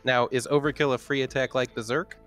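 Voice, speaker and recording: A man's voice: medium pitch, flat delivery, brisk, fairly bright tone, faint music behind. A man playing a tabletop roleplaying game, bantering casually and asking about rules.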